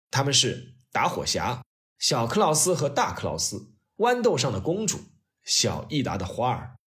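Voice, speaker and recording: A man narrating a scripted history story as its host, in an expressive, conversational storytelling manner. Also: mid-range voice.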